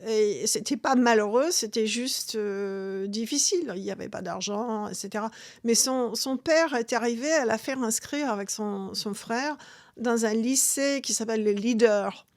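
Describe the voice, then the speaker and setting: A woman, talking as a guest author in an interview, halting with many restarts and fillers. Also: on the high side, darkish tone, no room sound, studio quality. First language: English. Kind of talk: interview conversation.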